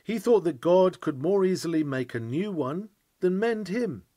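A man's voice: sits high, animated, normal speed, warm tone, no echo, studio quality. A man reading an old-fashioned sermon in an earnest, emphatic preaching style. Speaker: reader of a historical sermon.

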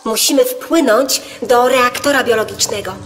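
A woman acting a calm, knowledgeable guide to young explorers in a children's audio drama, reassuring and explanatory. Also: fairly high pitch, moderate pace, in a large hall, faint music behind, lightly acted.

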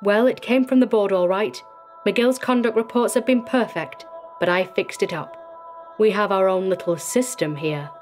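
A woman's voice: mid-range voice, moderate pace, darkish tone, faint music behind, natural voice. A woman voicing a hearty, self-assured male prison warden in a dramatic story reading. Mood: confident.

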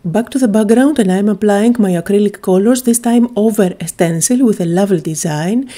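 A woman's voice: medium pitch, brisk, dark tone. A woman from Greece, chattily and enthusiastically narrating a craft tutorial, with frequent little "uh" fillers.

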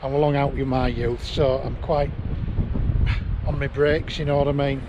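Middle-aged guy vlogging on a bike ride, chatty, laughs a lot, mid pitch, wind noise on the cam mic. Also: flat delivery, moderate pace, dull audio. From England. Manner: chatty, rambling, chuckles often. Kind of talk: casual vlog narration while riding. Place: outdoors on windy cliff tops. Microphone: action camera on handlebars and held near face.

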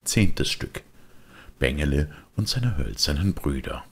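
A man reading a children's story as an expressive audiobook narrator. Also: extremely low pitch, unhurried, gravelly, breathy edge.

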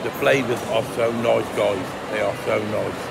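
Gravelly man vlogging in a noisy mall. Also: lowish voice, normal speed. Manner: chatty, murmuring "mm" while tasting, relaxed and plain-spoken. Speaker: food vlogger. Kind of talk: casual food review vlog. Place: indoor shopping mall food court under a glass roof. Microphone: phone held at arm's length, facing up. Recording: loud mall ambience behind the voice.

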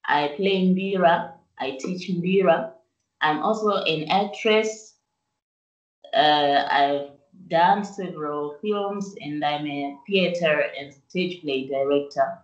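A young woman from Zimbabwe talks slowly and fairly low over a video call. Her voice comes from a distance in a roomy space. The sound is dull and lo-fi. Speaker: musician and actress guest. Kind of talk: casual video-call interview. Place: small room indoors. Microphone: video call webcam.

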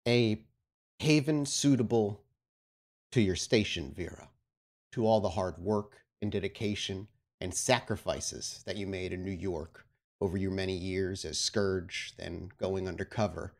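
Low-voiced man narrating a horror tabletop game. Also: vocal fry, a little mellow. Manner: dramatic, deliberate, measured phrasing. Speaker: storyteller, game master.

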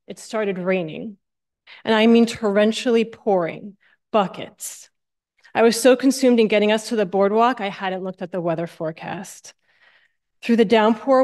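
A woman's voice: mid-range voice, a little mellow. A middle-aged American woman from New Jersey giving a sermon with personal storytelling at a church lectern. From New Jersey, United States. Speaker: church guest speaker.

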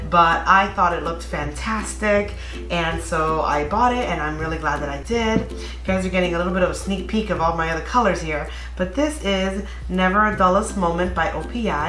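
A woman's voice: fairly low pitch, fairly bright tone. Bubbly vlogger woman in her 30s, chatty, echoey home room, faint music behind. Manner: bubbly, gushing, many fillers. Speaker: nail polish YouTuber. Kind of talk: casual vlog chat. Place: bedroom at home.

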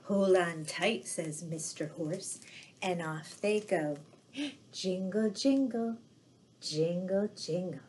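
A middle-aged woman hosting a storytime for young children, reading aloud in an animated, drawn-out way. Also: on the low side, slight background noise. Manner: animated, drawn-out delivery with sound words and gasps. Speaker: children's storytime host dressed as Mrs. Claus. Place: living room indoors by a fireplace. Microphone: webcam at arm's length or further.

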